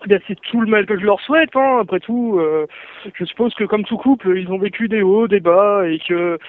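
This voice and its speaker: A man with a high voice heard through a thin phone line. He answers courteously and at length, in wordy improvised sentences delivered with a deadpan seriousness. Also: fairly quick, dry recording. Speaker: prank call recipient. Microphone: telephone. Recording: band-limited phone line.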